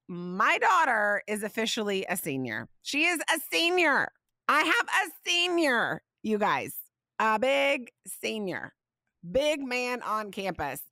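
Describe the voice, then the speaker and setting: A woman in her forties chats on a faith podcast and tells her stories with lively energy. Her voice is a touch scratchy from being under the weather. She sounds close and dry, with no room around her. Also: mid-range voice, no echo. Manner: chatty, warm-hearted, animated storytelling. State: slightly scratchy voice from illness. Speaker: podcast host. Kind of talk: casual faith podcast chat.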